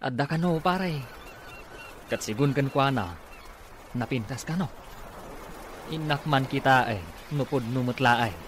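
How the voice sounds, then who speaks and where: A man's voice: mid-range voice, measured pace, long pauses, a bit bright, lightly acted. A man playing a broke, girl-curious village drinking buddy in an Ilocano radio comedy drama. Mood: intrigued. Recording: noisy radio drama with ambience.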